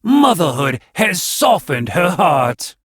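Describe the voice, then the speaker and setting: A bullying snake-man from a fantasy audiobook, played by a man, hisses his words out slowly. He sounds like a grinning menace toying with those he addresses. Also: fairly high pitch, big pitch swings, a bit bright, breathy edge, no room sound, studio quality, voice pushed up, full character voice. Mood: haughty. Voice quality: hissing. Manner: slow, drawn-out.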